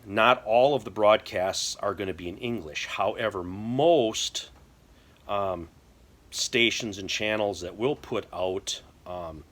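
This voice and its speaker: A middle-aged American man explaining things casually to camera in a halting, folksy way. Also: fairly low pitch, fairly bright tone. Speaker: prepper video host. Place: indoor workshop or garage.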